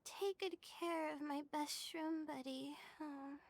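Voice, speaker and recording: A woman voicing a gentle, shy, sweet young girl in a video game, soft and hesitant in manner. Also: sits high, fairly even intonation, slow, a little hiss or hum. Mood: gentle, wistful.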